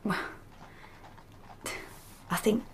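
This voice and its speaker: A shy young servant girl in a radio play, speaking in a working-class English accent. She is deferential and hesitant, earnest and quick to apologise, with faint noise behind her. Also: a little mellow. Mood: warming, eager. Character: timid, earnest young housemaid, soft-hearted and deferential. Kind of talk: radio drama acting.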